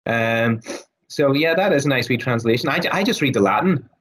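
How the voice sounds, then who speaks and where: A man with a raspy, hoarse voice who is losing his voice. He speaks as a guest scholar, thoughtful, with many fillers and restarts. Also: medium pitch, animated, brisk, a bit bright. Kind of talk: casual interview talk.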